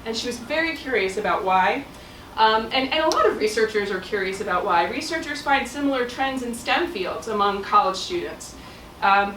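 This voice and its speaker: Middle-aged woman, talk with no mic, loose and chatty delivery, somewhat high voice, roomy hall picked up from afar. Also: fairly bright tone, slight background noise. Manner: conversational with frequent fillers. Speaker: speaker. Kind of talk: public talk. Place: auditorium. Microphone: no mic, room pickup from afar. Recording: echoey hall from far off.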